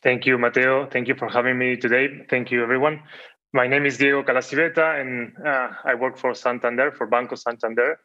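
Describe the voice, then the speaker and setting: A polite man in his forties from Argentina, introducing himself at a measured pace. His voice is bright and somewhat tense. The audio is dull and lo-fi. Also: mid-range voice, steady tone. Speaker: panel guest, bank business unit head. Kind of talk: guest introduction.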